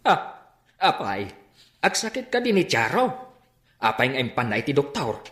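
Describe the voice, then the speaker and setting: An inquisitive, puzzled older father in a radio play, his pitch jumping around as he questions. The recording is echoey. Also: sing-song, normal speed, a bit bright. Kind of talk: radio drama acting.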